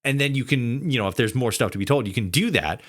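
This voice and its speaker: A man hosting a casual interview podcast, talking in a halting, rambling way peppered with filler words. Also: mid-range voice, animated, fairly bright tone. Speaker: podcast host interviewing a guest.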